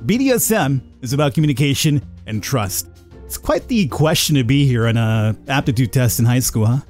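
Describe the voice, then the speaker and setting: A man's voice: mid-range voice, animated, a little fast, darkish tone, soft background music. A man playing a game, commenting casually and reading text aloud. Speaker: gamer, let's player.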